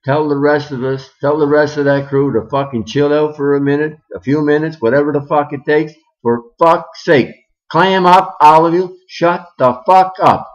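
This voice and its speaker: Bossy, foul-mouthed neighbor woman, as a male storyteller puts her on; slightly raised pitch, dull recording. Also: flat delivery, fairly quick, few pauses, a little mellow, close to an everyday voice. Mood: exasperated. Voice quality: profane, scolding. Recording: muffled lo-fi recording.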